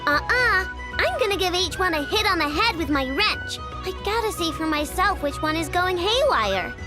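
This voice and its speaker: A woman voicing a feisty young girl mechanic in a video game, informal and expressive. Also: very high-pitched, normal speed. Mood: stubborn. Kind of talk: animated video game dialogue. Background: music.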